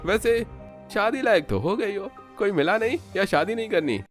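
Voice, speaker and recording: A man acts out a leering young lout for a cartoon, his voice pushed higher. He taunts in a smug drawl over background music. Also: expressive, moderate pace. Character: leering young male street harasser.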